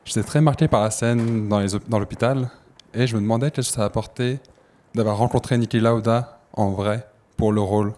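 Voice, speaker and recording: A man's voice: lowish voice, steady tone, fast talker, dark tone. A man in the audience puts a spontaneous question to the guest. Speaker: audience member asking a question.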